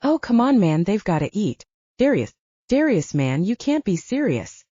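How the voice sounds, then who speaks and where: An outspoken male soldier in a werewolf romance audiobook, acted out by a female narrator. The voice argues and pleads with animated pitch and a mellow edge, recorded a little muffled. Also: normal speed, breathy edge, no echo. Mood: protesting. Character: outspoken, protesting young male werewolf soldier, defends his friends.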